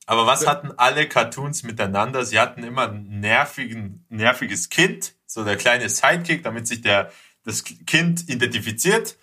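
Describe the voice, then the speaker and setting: A man's voice: mid-range voice, normal speed, sharp tone, husky. A man chatting casually, enthusiastic and slangy in the way he talks. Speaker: podcast guest or co-host. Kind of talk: casual chat about childhood cartoons.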